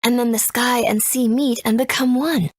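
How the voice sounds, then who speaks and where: A woman voicing a gentle, soothing young mother in a video game, with a breathy voice. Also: on the high side, steady tone, normal speed, no room sound, clean studio sound. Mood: tender. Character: gentle, loving young mother telling a story.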